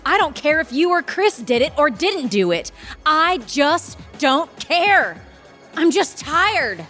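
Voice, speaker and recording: High-voiced, blunt female associate. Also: very animated, slow, sharp tone, faint music behind, exaggerated voice. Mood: weary, dismissive. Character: blunt, weary, accusing female law firm associate. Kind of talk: audio drama acting.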